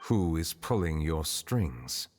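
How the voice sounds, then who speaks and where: An actor playing a dignified, ancient chief justice in a video game, speaking formally, calmly and probingly. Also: male voice, extremely low pitch, takes it slowly, heavily acted. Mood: stern, calm. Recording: long reverb under music. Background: background music.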